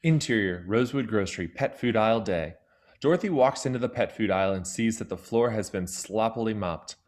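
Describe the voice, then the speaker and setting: Guy narrating a screenplay, lowish voice, scripted read. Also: fairly quick.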